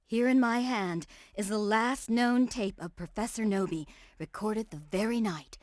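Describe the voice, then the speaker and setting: A woman's voice: medium pitch, measured pace, darkish tone, no room sound, lightly acted. A woman playing a gushing, theatrical host of an occult television show in a video game. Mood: dramatic. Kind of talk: video game voice acting, TV presenting.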